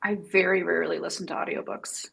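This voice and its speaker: Woman, slightly low voice, panelist, conversational, sharing opinions. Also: fairly even intonation, fast talker, creaky, fairly bright tone. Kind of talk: casual opinion in panel discussion.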